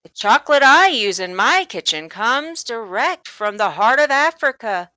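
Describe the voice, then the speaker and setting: A woman's voice: on the high side, sing-song, a little slow, bright, forceful tone, dry recording, pitched above the performer's own voice, heavily acted. A woman voicing a hearty, coaxing male candy storekeeper for a children's storybook, with an emphatic, theatrical salesman's delivery. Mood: boastful, persuasive. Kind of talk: children's storybook read-aloud.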